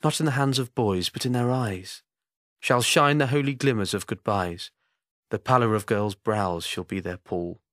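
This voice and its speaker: Measured man solemnly reading poetry, dry sound. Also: fairly low pitch, fairly bright tone, no room sound. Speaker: voice actor.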